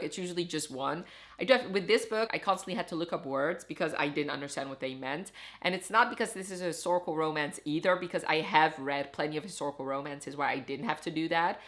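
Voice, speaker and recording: A woman's voice: deep voice, fairly quick, pushed and bright. A woman whose first language is Dutch, chatting casually and expressively as she gives an emphatic review to camera. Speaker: book reviewer.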